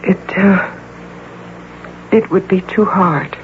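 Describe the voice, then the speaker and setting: A woman's voice: mid-range voice, steady tone, slow, lightly acted. An actress playing a weary, regretful middle-aged career woman in an old-time radio drama. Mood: regretful, wistful. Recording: muffled, lightly noisy radio broadcast tape.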